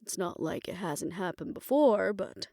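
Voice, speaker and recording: A woman playing a tender, world-weary lover in a fantasy audio roleplay, speaking in a measured, reflective and intimate way. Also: mid-range voice, animated, creaky, dry recording, clean studio sound. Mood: measured, resigned. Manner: measured, reflective, formal phrasing, soft hushed moments. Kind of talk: romantic audio roleplay, intimate scripted monologue.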